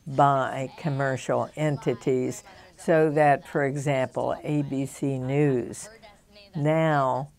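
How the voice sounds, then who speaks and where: An older woman speaking as a media expert in a documentary interview, measured and deliberate with pauses. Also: sits low, darkish tone.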